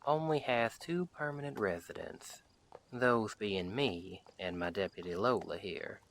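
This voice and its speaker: Male actor as roaming cowboy sheriff, bright forceful tone, leisurely pace, folksy, wry, kindly, Western audio roleplay. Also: on the low side, lively intonation, a little hiss or hum. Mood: wry, chatty. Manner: folksy, unhurried, wry, chatty. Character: friendly wandering Western sheriff, easygoing drifter, dryly humorous. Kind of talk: audio roleplay, speaking directly to the listener.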